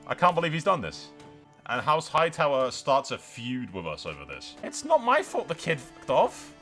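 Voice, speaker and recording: A man's voice: mid-range voice, huge pitch swings, moderate pace, a bit bright, soft background music. A man giving casual, sweary and blunt gameplay commentary as the host of a gaming video. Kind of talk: casual gameplay commentary with role-play asides.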